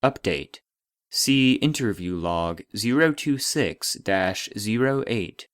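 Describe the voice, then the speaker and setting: An impersonal database with a lowish pitch and very slow pace. The delivery is formal, clinical scripted narration. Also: male voice, animated, a bit bright, no room sound, clean studio sound, exaggerated voice. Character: impersonal male voice of a classified database.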